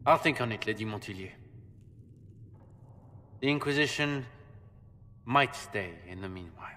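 Haughty fantasy marquis: bright, pompous, indignant; game audio with long reverb. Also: male voice, medium pitch, lively intonation, moderate pace. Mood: grudging. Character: haughty noble marquis, middle-aged man. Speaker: game character.

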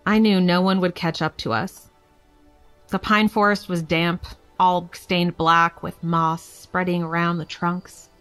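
An actress plays a playful, defiant young woman spinning a story in an audio drama. Her voice is on the low side, frank and conversational, with music underneath. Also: level tone, unhurried, a bit bright, smooth. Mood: defiant, excited. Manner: frank, wry, casual.

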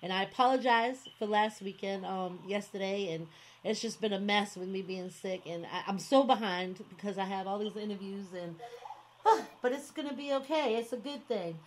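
A woman's voice: medium pitch, moderate pace, slight background noise. A woman hosting an online radio show who talks in a chatty, rambling way, full of fillers like "you know what I mean". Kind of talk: casual interview chat.

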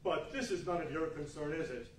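A man's voice: a little high, a little fast, close to an everyday voice. A man playing a stern, mocking Christmas spirit with a grave, pointed manner in a radio play. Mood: grave, reproachful. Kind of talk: 1940s radio play acting. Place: large meeting hall before an audience. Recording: distant echoey hall, faint noise.

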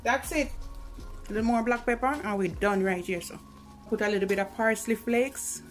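A woman's voice: a little high, moderate pace, faint music behind. A woman with a Jamaican accent, casually talking viewers through cooking in her home kitchen, calling out to them often. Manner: chatty, keeps calling to viewers. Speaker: cooking vlogger. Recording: sizzling pan and kitchen noise behind.

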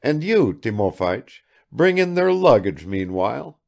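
A man's voice: mid-range voice, big pitch swings, a little slow, gravelly, a little mellow, voice pushed up. A man reading aloud and voicing an eager, garrulous, good-natured old country father who chatters too much.

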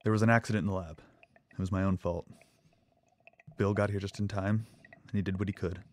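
An actor playing a nervous, devoted lab assistant in an audio drama, speaking earnestly and with hesitant stammers. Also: male voice, low voice, steady tone, fairly quick, darkish tone, a little hiss or hum, close to an everyday voice. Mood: subdued, ashamed.

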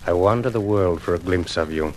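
A man's voice: low-pitched, fairly quick, lightly acted. An actor playing an earnest, lovesick, meek man with flowery, theatrical speech in a 1950s radio drama. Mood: ardent. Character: florid, lovesick stranger, meek and pleading. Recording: noisy vintage 1950s radio recording.

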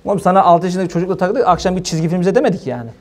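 A man gives an informal religious sermon in a colloquial, animated way, asking rhetorical questions. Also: high voice, racing. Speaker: preacher. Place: small room indoors.

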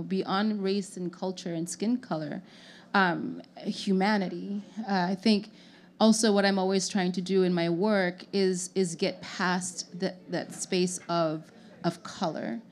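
A young woman from Haiti speaking as an artist on a panel, earnest and thoughtful, often restarting her phrases, into a handheld mic in an indoor lounge. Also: medium pitch. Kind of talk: panel discussion. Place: lounge venue with audience, indoors.

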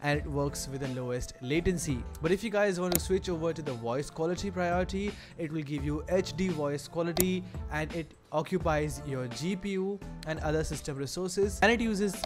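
A man narrating a screen-recorded software tutorial, speaking directly to viewers with a promotional enthusiasm. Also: a little high, moderate pace, few pauses. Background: background music.

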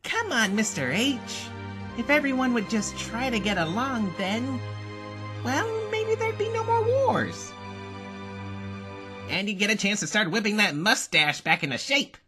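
A man's voice: sits high, sing-song, a little slow. A man voicing a small, gleefully violent, childlike rabbit in a comic dub, with music behind. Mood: mock-innocent.

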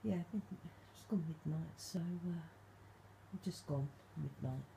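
A woman vlogging at night from her dark bedroom, speaking softly and calling out to spirits, her voice a little stuffed up from hay fever. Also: sits low, a little slow, creaky, slight background noise. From England. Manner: hushed, soft-spoken, calling out to spirits. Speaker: paranormal vlogger. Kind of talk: quiet late-night ghost hunting vlog.

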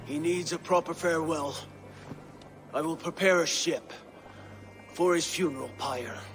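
An actor playing a solemn, grieving Viking chieftain in a game cutscene, grave and measured. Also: male voice, on the high side. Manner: grave, measured, dropping to a hush. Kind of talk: video game cutscene acting. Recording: music under dialogue.

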